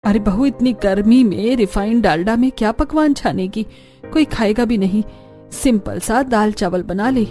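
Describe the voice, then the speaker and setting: Woman doing a bossy mother-in-law, mellow-toned. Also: medium pitch, faint music behind, natural voice. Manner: brisk, commanding. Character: bossy middle-aged mother-in-law, scolding and demanding.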